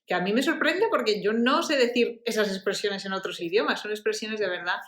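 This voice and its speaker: Woman language-channel host, interviewing a guest with friendly, encouraging questions, mid-pitched, echoey room. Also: fairly quick.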